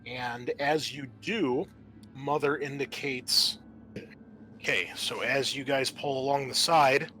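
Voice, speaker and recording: A man working as game master, narrating and explaining a tabletop game in a halting, conversational way. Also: mid-range voice, fairly even intonation, pushed and bright, slight background noise.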